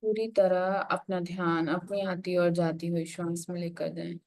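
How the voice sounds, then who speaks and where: Meditation guide: woman, lowish pitch, unhurried instructional delivery with plenty of pauses. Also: little pitch movement, a little mellow.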